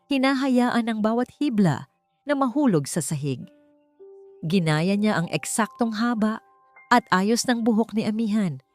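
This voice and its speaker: A woman's voice: fairly high pitch, expressive, a little slow. A woman reads a scripted dramatic story as its narrator. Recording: music behind the voice. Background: background music.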